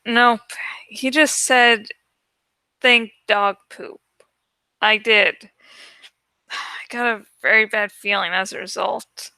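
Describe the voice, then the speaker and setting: A woman reading the part of a reluctant, exasperated teenage boy in a storybook, halting and plaintive. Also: medium pitch, fairly even intonation, bright, forceful tone, clean tone, no echo. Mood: weary.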